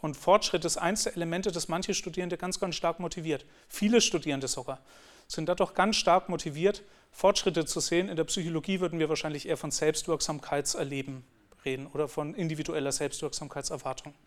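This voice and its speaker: A man's voice: a little high, brisk. A man giving a talk on teaching, explaining in an earnest way and often restarting his sentences. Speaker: lecturer.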